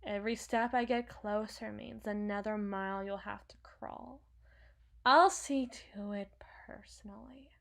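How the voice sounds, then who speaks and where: A woman's voice: medium pitch, fairly even intonation, unhurried, vocal fry. A woman playing a brash, sarcastic, protective villain's henchwoman and field medic in an intimate, dramatic audio roleplay. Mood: taunting. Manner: sardonic, dramatic, swings from hushed muttering to outbursts.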